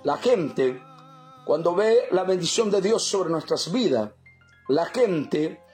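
A man's voice: high voice, lively intonation, slow pace, darkish tone, faint music behind. A man from El Salvador preaching a fervent sermon, often calling out to his listeners as brothers.

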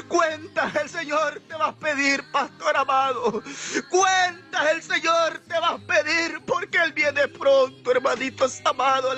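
A man preaches with fervor in an extremely high-pitched, sharp and intense voice. His delivery is emotional and exhorting, circling back on phrases and punctuating them with devout interjections. Music plays softly behind him. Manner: emotional, impassioned, often rising toward shouts or tearful pleading. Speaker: evangelical preacher. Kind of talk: fervent revivalist sermon, testifying of a vision.

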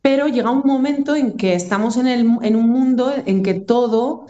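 A woman's voice: on the high side, normal speed, dark tone, muffled recording. A woman from Aragón with a northern Spanish accent, talking in a casual, reflective and discursive way as a musician being interviewed. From Aragón, Spain.

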